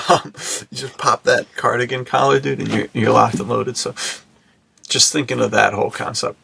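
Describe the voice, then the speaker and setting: A man's voice: medium pitch, fairly even intonation, normal speed, creaky, pushed and bright, slightly rough. A man co-hosting a podcast in casual, slangy, bro-ish chat with an enthusiastic manner. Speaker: podcast co-host. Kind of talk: casual podcast chat about film and menswear.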